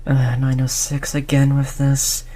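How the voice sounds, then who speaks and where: A performer of unclear sex playing a cold, condescending researcher who disciplines a captive test subject in a measured, mock-polite way, in an audio roleplay. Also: mid-range voice, monotone, warm tone, close to an everyday voice. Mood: patronizing, exasperated. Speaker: scientist running human experiments. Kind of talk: scripted audio roleplay drama.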